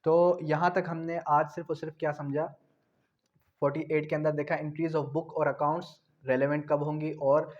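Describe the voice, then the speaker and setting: A man's voice: on the high side, flat delivery, a little slow. A man with a Pakistani accent gives an explanatory law lecture, often repeating points and answering his own questions. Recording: dull, lo-fi sound.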